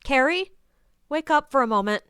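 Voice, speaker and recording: A woman's voice: high voice, expressive, normal speed, fairly bright tone, dry recording, studio quality. A woman playing a jumpy, annoyed young friend in an audio play. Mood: annoyed, uneasy.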